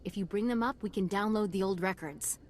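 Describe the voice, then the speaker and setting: A woman's voice: mid-range voice, a little fast, slight background noise, close to an everyday voice. A woman playing a solemn, devoted doctor in a video game, speaking in a grave, formal and earnest way.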